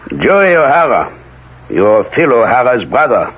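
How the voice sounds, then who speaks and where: On a dull vintage radio recording, a husky male elder with a coolly sinister air. His delivery is deliberate and precise, yet swings widely in pitch as he asserts control. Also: wildly swooping pitch, heavily acted. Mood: coolly scheming. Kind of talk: 1970s radio drama acting.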